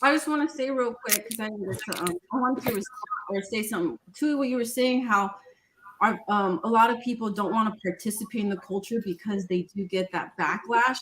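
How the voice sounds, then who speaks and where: A woman's voice: medium pitch, fairly quick, background noise. A woman, a guest on a live panel, speaking emphatically and repeating her points. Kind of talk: casual live panel discussion. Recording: roomy echo.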